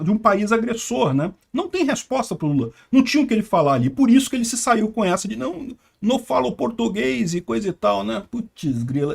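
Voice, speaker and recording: A man's voice: sits high, darkish tone. A Brazilian man giving sarcastic, indignant political commentary. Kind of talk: opinionated news commentary.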